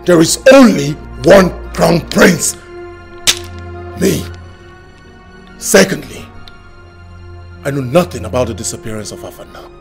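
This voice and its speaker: An actor playing an arrogant, hot-headed young prince who shouts defiant threats. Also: male voice, on the high side, very animated, measured pace, vocal fry, long pauses, soft background music, exaggerated voice. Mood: scornful.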